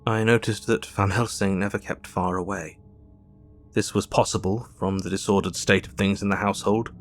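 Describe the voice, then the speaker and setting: Guy acting grieving english doctor in an audio drama, formal n measured, lowish voice, faint music behind. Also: fairly even intonation, natural voice. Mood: sober, measured. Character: grieving, earnest Victorian male doctor recounting his diary. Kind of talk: dramatic first-person audio drama narration.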